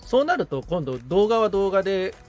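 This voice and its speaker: A man's voice: high-pitched, very animated, normal speed, a little mellow, faint music behind. A man chatting casually but politely as a podcast assistant, agreeable and often chiming in with acknowledgements. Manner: agreeable, frequent backchannels.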